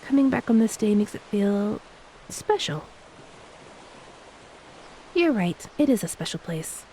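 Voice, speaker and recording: A woman playing a gentle, playful ex-mermaid wife in a romantic audio roleplay, speaking tenderly and teasingly to a beloved spouse. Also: medium pitch, measured pace, low-quality recording. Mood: tender, grateful. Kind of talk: romantic audio roleplay, intimate scripted acting. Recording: steady hiss behind the voice.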